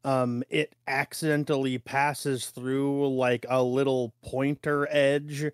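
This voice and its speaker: A man's voice: medium pitch, flat delivery, slow pace, smooth, dry recording. Laconic man podcasting in a dry room. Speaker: podcast co-host. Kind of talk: casual film discussion podcast.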